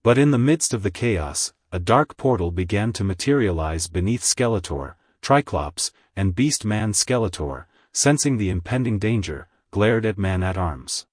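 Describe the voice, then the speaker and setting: A man reading scripted story narration in a dramatic, measured storytelling manner. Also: lowish voice, lively intonation, dry recording, clean studio sound. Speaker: narrator, fan fiction reader.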